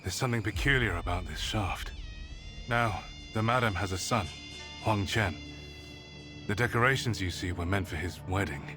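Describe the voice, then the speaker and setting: An actor playing a grave, curious gentleman investigator in a video game, musing in a measured, formal way. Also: low-pitched, natural voice. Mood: grave, intrigued. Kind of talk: video game cutscene acting. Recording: music behind the voice.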